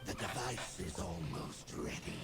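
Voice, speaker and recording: An actor playing a fanatical, menacing monster herald in a video game, with formal, grandiose, ominous phrasing. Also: male voice, deep voice, sing-song, measured pace, creaky, over loud music, heavily acted. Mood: reverent, ominous.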